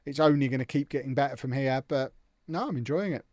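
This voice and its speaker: A man's voice: medium pitch, fast talker, a little mellow, no echo, clean studio sound. A young man chatting casually and jokily on a live stream, answering viewers' comments as he plays a football management game.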